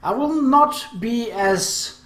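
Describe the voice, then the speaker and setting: A man hosting a let's play of a visual novel, commenting and reading aloud in an animated, emphatic manner. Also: very high voice, slow, reverberant.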